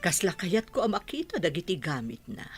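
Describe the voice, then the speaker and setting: A woman's voice: on the low side, sing-song, a little fast, faint music behind. A woman with a northern Philippine accent playing an insistent mother and madam of the house in a radio drama. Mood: stern.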